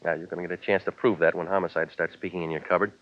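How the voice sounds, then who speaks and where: An actor playing a wisecracking, world-weary private investigator in a 1940s radio drama, terse and sardonic. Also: male voice, low voice, little pitch movement, very fast, close to an everyday voice. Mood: cold, sardonic. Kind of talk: 1940s radio detective drama narration and dialogue. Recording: dull 1940s radio recording, loud music.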